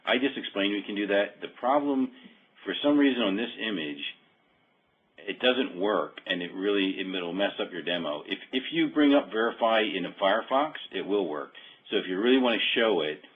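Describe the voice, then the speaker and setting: Deep voiced guy walking thru a software demo, kinda hesitant, husky, thin phone audio. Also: expressive, moderate pace. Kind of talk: informal walkthrough of a software demo. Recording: muffled phone-like call audio.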